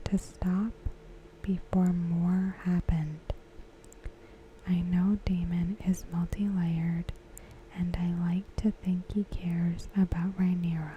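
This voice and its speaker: A woman whispering softly and breathily in an ASMR style, giving commentary with gentle, deliberate phrasing. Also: fairly low pitch, lo-fi sound, slight background noise. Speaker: ASMR creator.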